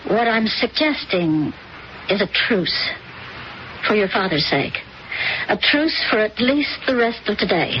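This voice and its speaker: Poised stepmother, measured and polite, muffled old recording. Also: medium pitch, big pitch swings. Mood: earnest. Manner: gracious, measured. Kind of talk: vintage radio drama acting. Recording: dull old radio recording with faint hiss.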